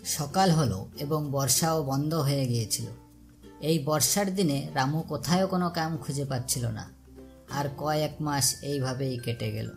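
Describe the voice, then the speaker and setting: Female narrator for a moral story, low register, scripted delivery, light music underneath. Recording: music behind the voice. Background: background music.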